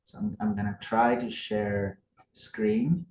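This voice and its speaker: A man's voice: on the low side, level tone, slow, a little mellow, roomy sound, low-quality recording. A young man from Afghanistan giving a conference talk over a video call, hesitant, with many fillers. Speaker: guest speaker.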